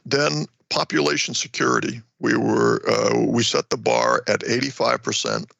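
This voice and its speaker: Older man, American accent, gravelly voice, crisp bright tone, halting academic explanations, dry close sound. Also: mid-range voice, fairly even intonation, no echo. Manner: hesitant, halting delivery with repeated words. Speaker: retired war college professor, research guest. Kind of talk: explanatory interview answers.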